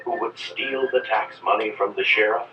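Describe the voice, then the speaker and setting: A man reads a children's story in an animated, scripted narration. The sound is echoey and set back, like an old record with faint noise. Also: lowish voice, normal speed, far from the mic, music in the background.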